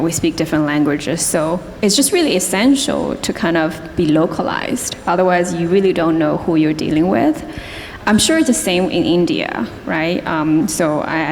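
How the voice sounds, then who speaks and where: Low-voiced woman on a conference panel. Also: a little hiss or hum. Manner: plain, measured, with fillers. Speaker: panelist, executive. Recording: reverberant conference hall.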